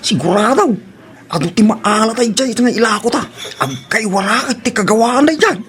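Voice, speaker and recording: Guy doing a wheedling student son in a filipino radio drama, kinda high n creaky, voice jumps around. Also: lively intonation, fairly quick, fairly bright tone. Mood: rowdy, scheming. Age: young adult. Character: wayward, wheedling student son, thief among pals. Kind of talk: Ilocano radio-style drama acting.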